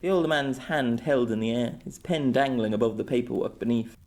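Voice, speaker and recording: A man's voice: medium pitch, a little fast, darkish tone. A man reading scripted story narration as an amateur audiobook narrator.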